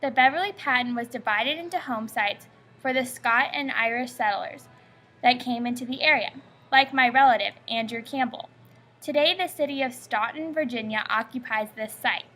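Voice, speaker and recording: Bright high-voiced young woman reading aloud. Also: moderate pace, soft background music. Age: young adult. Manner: steady scripted recitation. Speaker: student presenter. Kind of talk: reading a school family-history presentation.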